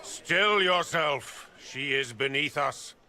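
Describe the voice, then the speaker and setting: An actor playing a cold, haughty lord commander who speaks slowly and with contempt in a game cutscene. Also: male voice, a little high, lively intonation, sharp tone, heavily acted. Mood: contemptuous. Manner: slow, contemptuous.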